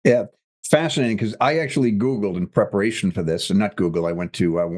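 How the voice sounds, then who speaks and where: A man's voice: fairly low pitch, quick pace, darkish tone. A man hosting an interview podcast who asks chatty, loosely rambling questions with some joking. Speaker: podcast host.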